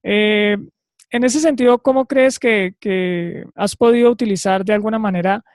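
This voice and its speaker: A webinar host asking guests long, winding questions over a video call, repeating words along the way. The voice is mid-pitched and sounds dry and close, with no room echo. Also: normal speed. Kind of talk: interview questions.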